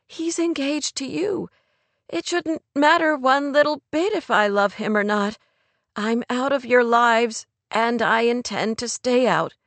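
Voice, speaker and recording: A woman playing a gentle, confused young woman in an audiobook romance, speaking in a soft, hesitant, pleading way. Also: on the high side, unhurried, dry recording. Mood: puzzled, gentle. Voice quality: soft, murmuring.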